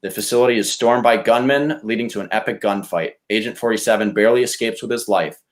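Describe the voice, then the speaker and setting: Guy w a lowish voice pitching stuff on a podcast, casual and chatty. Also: quick pace. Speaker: podcast contestant pitching films. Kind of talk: casual chat with read-out pitches.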